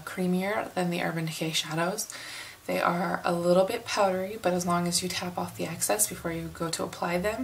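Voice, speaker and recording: A middle-aged woman from the United States giving a casual makeup review in an unhurried, chatty way, hoarse and sniffling from a cold. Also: fairly low pitch, a little hiss or hum, rough recording. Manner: unhurried, chatty, many fillers. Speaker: beauty vlogger.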